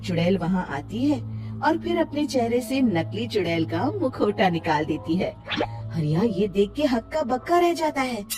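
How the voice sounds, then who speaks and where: A woman narrating a scripted cartoon tale as an expressive storyteller. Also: fairly high pitch, unhurried, music in the background.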